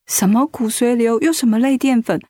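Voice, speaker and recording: Radio drama wife female actor: anxious, confused, high pitched, dry recording. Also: normal speed, dark tone, dry recording, natural voice. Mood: anxious, pleading. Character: anxious, bewildered wife of a gravely ill man.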